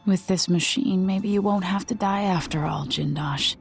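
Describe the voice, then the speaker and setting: A woman's voice: fairly low pitch, moderate pace, vocal fry, soft background music. A woman voicing a determined young empress in a video game, guarded and wry, speaking in a cool, terse way. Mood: wary musing.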